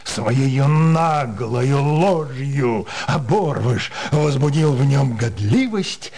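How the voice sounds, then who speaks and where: A man narrating a literary radio drama with an expressive storytelling delivery. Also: medium pitch, extremely slow, slightly rough.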